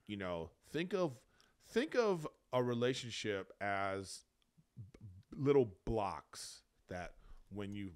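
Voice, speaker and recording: A man's voice: on the low side, sing-song, takes it slowly. A man of about fifty co-hosting a podcast, giving advice in casual, rambling, emphatic explanations. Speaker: podcast co-host.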